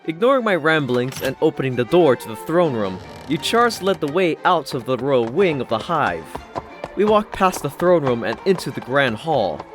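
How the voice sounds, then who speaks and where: Animated, higher-pitched male story narrator. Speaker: audiobook narrator. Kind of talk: first-person scripted story narration. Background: background music.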